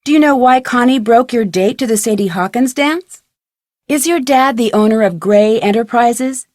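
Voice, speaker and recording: A woman's voice: on the high side, moderate pace, a little mellow, dry recording, clean studio sound, natural voice. A woman playing an inquisitive, polite teenage girl detective in an adventure game, with earnest scripted delivery. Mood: curious.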